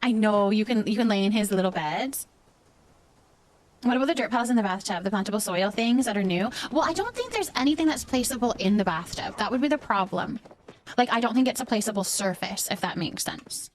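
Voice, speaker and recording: A woman's voice: mid-range voice, animated, brisk, slight background noise. A woman livestreaming a game, chatting casually with viewers and thinking aloud as she goes.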